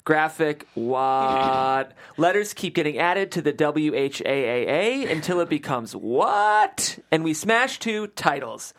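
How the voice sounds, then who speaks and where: A low-voiced woman reads stage directions at a table read. Her pitch moves widely as she emphasizes each screen cue and caption. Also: big pitch swings, slow, few pauses. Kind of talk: script read aloud at a table read.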